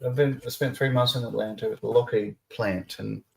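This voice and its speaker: A man's voice: mid-range voice, steady tone, brisk, vocal fry, a little hiss or hum. A man hosting a casual live stream, chatting freely, laughing often and reading viewer comments aloud. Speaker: stream host.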